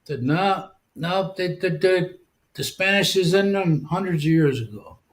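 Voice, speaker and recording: An older man with a fairly high voice tells casual, offhand stories. He trails off with fillers as he goes. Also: measured pace. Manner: storytelling, offhand. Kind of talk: casual anecdote.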